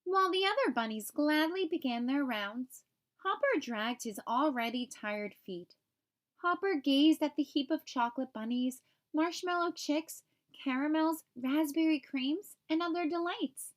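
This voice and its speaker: A woman reading a children's picture book aloud as an expressive storyteller for young listeners. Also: high voice, a little slow.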